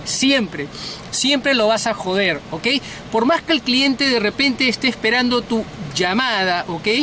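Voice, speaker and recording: A man's voice: very high-pitched, pushed and bright, slight background noise. A middle-aged man giving an informal sales lesson to camera from inside a car, bluntly and emphatically, repeating words for stress. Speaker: sales trainer, host.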